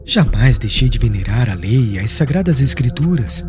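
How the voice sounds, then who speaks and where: A man voicing a humble, devout preacher on trial in an audiobook, speaking in a firm, measured, formal way. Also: medium pitch, animated, music in the background, close to an everyday voice. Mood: devout, calm. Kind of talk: audiobook character acting, formal speech.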